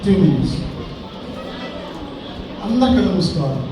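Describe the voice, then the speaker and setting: A man's voice: on the high side, very animated, normal speed. A man preaching to children and leading prayer, exhorting them in short phrases and rhetorical questions. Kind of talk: children's sermon and led prayer. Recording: echoey hall, distant mic, noisy crowd.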